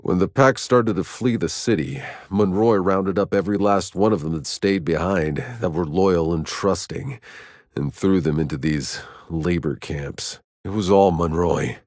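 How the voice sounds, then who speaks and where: An actor voicing a jaded, sardonic, hardened soldier in a video game, with a rough voice and a wry, terse, world-weary delivery. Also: male voice, deep voice, a little slow, darkish tone, no echo, studio quality. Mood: grave, reflective. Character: jaded, sardonic male soldier, hardened survivor of alien wilds. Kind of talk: video game character voice lines.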